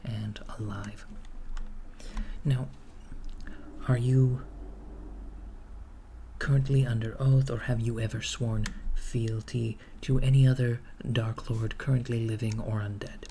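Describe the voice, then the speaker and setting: A man playing a polite, bureaucratic recruiter for an evil corporation in an ASMR roleplay, soft-spoken and halting, often slipping into a whisper. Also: mid-range voice, level tone. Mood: businesslike. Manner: hushed, halting, matter-of-fact. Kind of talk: ASMR roleplay, improvised job interview. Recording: clearly noisy close recording, keyboard typing. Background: computer keyboard typing.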